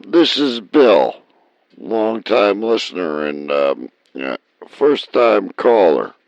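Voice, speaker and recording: An actor playing a crude phone-in caller in a radio comedy drama, halting and insolent. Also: male voice, fairly low pitch, very animated, vocal fry, no room sound, exaggerated voice. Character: rude, crude, hesitant male phone-in caller. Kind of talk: comic radio drama acting. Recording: dull phone-in line, dry.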